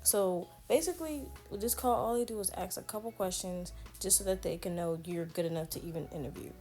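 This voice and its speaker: An American woman vlogger chatting casually in a playful, sassy, slangy way, her voice slightly hoarse. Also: medium pitch, a little mellow. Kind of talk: casual chatty day-in-the-life vlog.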